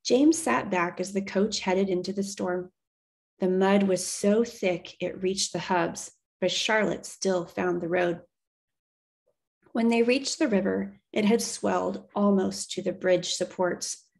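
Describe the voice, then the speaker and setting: A woman reading a children's novel aloud as an expressive storyteller. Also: mid-range voice, slow, creaky.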